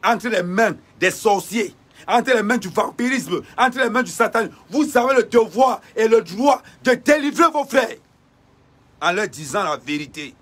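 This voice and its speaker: A man with a high, husky voice delivers a fiery denunciation. He hammers the same phrases over and over in a preaching rhythm, breaking into shouts. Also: lively intonation, a little slow. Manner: repetitive preacher-like cadence with shouted bursts. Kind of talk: impassioned denunciation.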